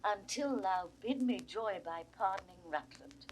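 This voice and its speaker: A woman's voice: mid-range voice, measured pace, natural voice, poor audio. A woman with a British RP accent playing a desperate, aging duchess in a Shakespearean audio drama, pleading in impassioned verse. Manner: impassioned, sweeping verse delivery. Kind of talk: classical Shakespearean stage acting. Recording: vintage 1960s recording, faint noise.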